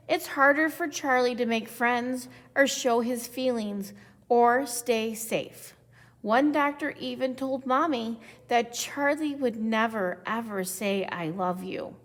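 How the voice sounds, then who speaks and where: A woman reading a children's picture book aloud with deliberate, expressive phrasing. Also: a little high. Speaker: storybook reader. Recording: echoey, long reverb.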